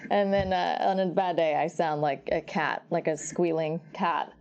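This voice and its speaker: Deep-voiced young woman, gravelly and laughing. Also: normal speed, lo-fi sound. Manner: laughing, animated storytelling with many restarts. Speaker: actress guest. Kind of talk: casual interview chat.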